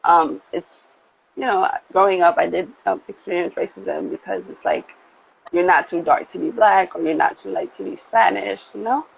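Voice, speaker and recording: A woman, talking earnestly and haltingly with many fillers in a casual livestream conversation. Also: on the low side, gravelly, lo-fi sound, a little hiss or hum. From United States. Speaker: model and activist, guest. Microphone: phone, video call.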